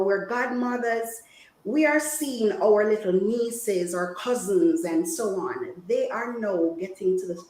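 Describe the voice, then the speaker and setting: A woman from Jamaica giving an informal, preacher-like health talk, engaging her listeners with questions. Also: medium pitch. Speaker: guest women's health speaker. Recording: echoey room sound.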